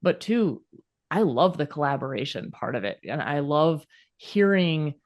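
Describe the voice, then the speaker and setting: A woman's voice: sits low, lively intonation, measured pace, muffled recording. A woman, an author hosting the show, chatting casually and enthusiastically, with frequent affirming "yes" and "yeah". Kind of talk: casual interview chat.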